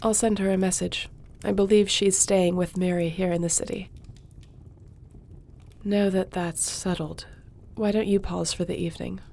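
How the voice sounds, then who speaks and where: A woman playing a mellow, calm sorceress. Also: fairly low pitch, creaky, a little hiss or hum. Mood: gentle. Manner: measured, reassuring, reads reports aloud. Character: loyal young sorceress, calm royal advisor. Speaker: audio roleplay performer. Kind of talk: fantasy audio roleplay.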